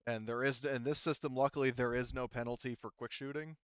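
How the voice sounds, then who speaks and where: A man's voice: medium pitch, steady tone, fairly quick, smooth, no echo, highs cut off. A man speaking casually as a game master, explaining rules and thinking aloud in a hesitant, informal way.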